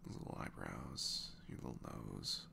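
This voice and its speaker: A man playing a sweet, earnest single dad in an intimate ASMR roleplay, soft and hesitant, often dropping to a whisper. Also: very deep voice, unhurried, gravelly, noisy background, full character voice. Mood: shy, flirtatious. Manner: hesitant, gentle, murmured.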